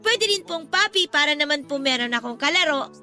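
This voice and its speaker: Very high, bright little girl. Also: animated, brisk, exaggerated voice. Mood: eager, polite. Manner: childlike, polite, whiny pleading. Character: sweet, pleading little daughter begging for a brother. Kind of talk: radio drama dialogue. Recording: music bed under the voice.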